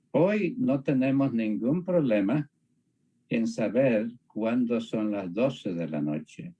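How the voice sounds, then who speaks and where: Mellow, slow man explaining. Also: mid-range voice, husky. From Panama. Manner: halting, repeats phrases for emphasis. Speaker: discussion partner on a religious show. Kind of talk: reflective conversational explanation.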